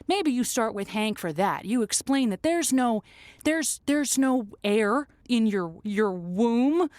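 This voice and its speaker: Comic call-in host: woman, slightly high register, conversational, emphatic, folksy, very dry sound. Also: animated, takes it slowly, a little mellow, dry recording. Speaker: advice show host.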